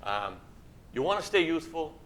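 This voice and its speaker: A man's voice: on the high side, sing-song, normal speed, fairly bright tone, a little hiss or hum. A man in his sixties hosting a casual interview, chatty and encouraging, rambling between thoughts. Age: 60s. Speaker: show host.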